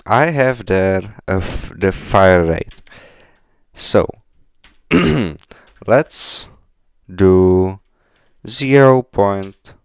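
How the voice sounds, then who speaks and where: A man from the Czech Republic with a Czech accent, chatting casually on a live coding stream in halting, hesitant non-fluent English. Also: low voice, animated, smooth, no echo, clean studio sound. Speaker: game developer live streamer. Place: indoors at a computer, keyboard clicks behind.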